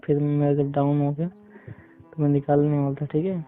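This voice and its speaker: A man chatting casually and intimately on a phone call, halting as he searches for words. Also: fairly high pitch, flat delivery. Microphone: phone call recording. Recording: tinny phone line, music behind.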